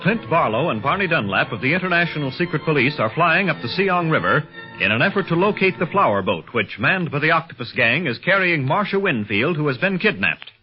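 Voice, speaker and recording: Lively, husky male old-time radio announcer. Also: fairly high pitch, brisk. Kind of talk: 1930s radio serial scripted narration, dramatic recap. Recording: muffled 1930s radio recording, faint music.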